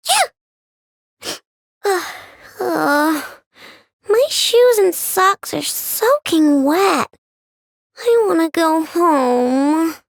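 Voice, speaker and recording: A woman's voice: very high-pitched, dry recording, clean studio sound, heavily acted. A woman voicing a bubbly, chatty young girl who builds robots, in game voice lines. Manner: bubbly, lively, quick mood swings.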